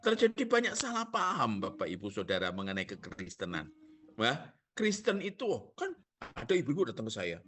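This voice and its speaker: A man's voice: medium pitch, huge pitch swings, normal speed, a bit bright, faint music behind. A male pastor with a Javanese accent preaching a sermon, asking the congregation rhetorical questions and joking.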